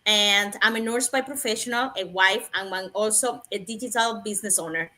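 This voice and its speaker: A woman's voice: medium pitch, a little fast, sharp tone. A woman from Cuba who works as a nurse, speaking as a panelist on a livestream in a plain, listing delivery.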